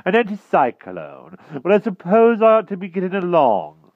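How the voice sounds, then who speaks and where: A flustered storybook king, exclaiming in a high voice that swoops wildly. He is an old-fashioned gentleman, polite and dithering, on a slightly dull, dry recording. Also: wildly swooping pitch, moderate pace, dry recording, heavily acted. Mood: nervous. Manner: flustered, posh verbal tics, exclaiming, polite.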